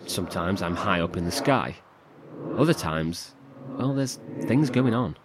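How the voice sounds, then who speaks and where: Casting: worn-down, fearful survivor in horror audio drama; fairly deep, hesitant, intimate delivery over a clearly noisy bed. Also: male voice, animated. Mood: pensive, uneasy. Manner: halting, confiding, with sighs and swearing. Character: weary, frightened male survivor trapped in darkness. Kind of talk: first-person horror audio drama monologue. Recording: clearly noisy, rumbling ambience.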